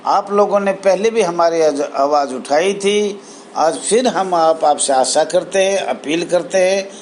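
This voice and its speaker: A man with a high voice delivers a formal appeal as a spokesman. He goes very slowly, breaking sentences into short, emphatic phrases. There is clear background noise in the recording. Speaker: spokesman for a welfare association. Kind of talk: formal address to camera, appeal.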